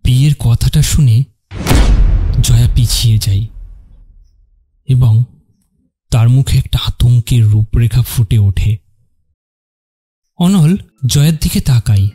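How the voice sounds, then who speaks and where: A man narrating a scripted dramatic story in measured, separate phrases. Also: medium pitch, mellow, husky. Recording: music under the narration.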